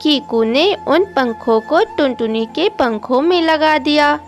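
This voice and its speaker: Female storyteller for children's cartoons: high voice, measured slow reading, light music bed. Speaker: narrator. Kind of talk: scripted children's story narration. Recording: music behind the voice.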